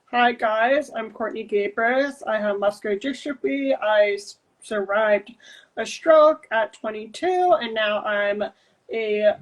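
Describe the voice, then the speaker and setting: A woman in her twenties hosting a casual interview, speaking haltingly in short phrases with pauses. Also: a little high, low-quality recording. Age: 20s. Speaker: podcast host.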